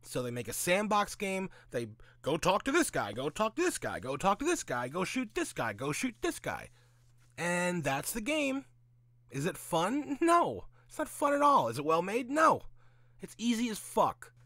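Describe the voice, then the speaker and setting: A man's voice: high-pitched, wildly swooping pitch, moderate pace, bright, forceful tone, no echo. A man giving casual, opinionated commentary in a blunt, sweary, sarcastic way, often asking and answering his own questions. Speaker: host of a gaming commentary audio log.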